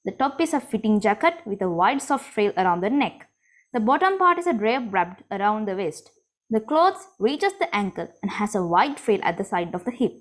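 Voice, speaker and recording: A woman giving a prepared class presentation, reading clearly and steadily. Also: mid-range voice. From Sri Lanka. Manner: reads from a script, clear and measured. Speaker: student presenter.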